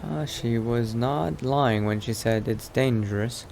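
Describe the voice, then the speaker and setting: Halting, muttering male vampire adventurer, played by a man. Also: mid-range voice, gravelly, a little mellow, slight background noise. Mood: musing, polite. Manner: halting, muttering, repeats himself. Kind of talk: improvised video game roleplay.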